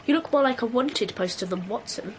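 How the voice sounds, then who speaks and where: Radio play: actress as knowing young girl detective, precocious and matter-of-fact, high-pitched, light background noise. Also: lively intonation, a little fast, natural voice. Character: Josephine, precocious, morbid, cool little girl detective. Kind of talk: radio drama acting.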